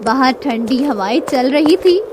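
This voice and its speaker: A woman's voice: high voice, expressive, a little slow, background noise. A woman reading scripted narration for a children's moral story. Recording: loud music behind the voice.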